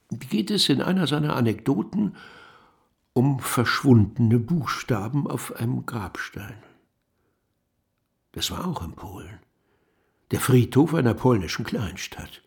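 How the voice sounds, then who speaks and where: A man narrating a literary radio play as a pensive storyteller, measured and deliberate, pausing between phrases. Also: mid-range voice, creaky, slightly rough, full character voice. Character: pensive male narrator musing over vanished letters.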